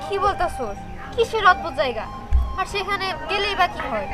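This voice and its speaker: A woman plays a poor young cartoon girl with an extremely high voice, earnest and pleading. Loud music runs behind her, with crowd sounds. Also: sing-song, normal speed. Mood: doubtful. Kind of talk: Bengali cartoon dubbing.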